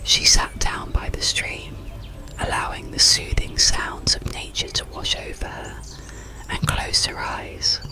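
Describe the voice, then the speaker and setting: Slow, whispering bedtime storyteller. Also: poor audio. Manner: soothing, deliberate, softly whispered. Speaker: audiobook storyteller.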